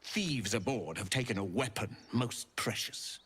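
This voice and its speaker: Slow, imperious alien knight commander. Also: male voice, fairly low pitch, a bit bright, breathy edge. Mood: commanding. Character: menacing, imperious Githyanki knight commander, merciless and silkily scornful. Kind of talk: dramatic fantasy video game acting.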